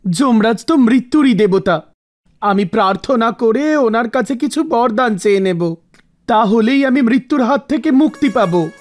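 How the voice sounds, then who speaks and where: A man's voice: extremely high pitch, animated, moderate pace. A man voicing an anxious, grieving family man seeking help in a cartoon. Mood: worried. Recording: music under the voice.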